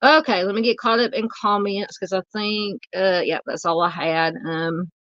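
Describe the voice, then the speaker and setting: A woman's voice: mid-range voice, fairly even intonation, moderate pace, fairly bright tone, dry recording, lo-fi sound. Chatty woman hosting a livestream, voice wearing out. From Southern United States. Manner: rambling, chatty, many fillers. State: losing her voice. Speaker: true crime livestream host. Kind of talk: casual livestream chat reading comments.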